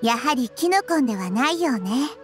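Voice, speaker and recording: A woman voicing a wise, girlish nature deity in a game, composed and quietly confident. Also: sits high, animated, brisk, soft background music. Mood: calm, curious. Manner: composed, inquisitive, feminine phrasing. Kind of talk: Japanese game voice acting.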